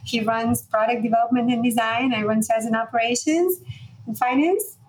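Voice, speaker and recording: A woman's voice: fairly high pitch, a little hiss or hum, rough recording. A woman in her forties from Hungary, telling her story as a guest in an interview, with many fillers. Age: 40s. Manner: brisk, many "you know" and "um" fillers. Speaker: guest, fashion entrepreneur.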